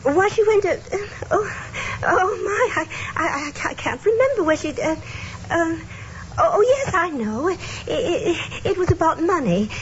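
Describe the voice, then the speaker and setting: A high, wavering-in-pitch old lady, frail, anxious and muddled, speaks hesitantly. It is vintage radio drama with muffled sound and light noise. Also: expressive, a little slow. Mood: confused, anxious. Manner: hesitant, fluttery. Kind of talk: old-time radio acting.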